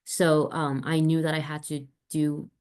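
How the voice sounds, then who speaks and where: Brief: young female panelist from the Dominican Republic, deep voice, hesitant delivery. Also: little pitch movement, unhurried, a little mellow. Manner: thoughtful, hesitant, many fillers. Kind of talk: panel answer.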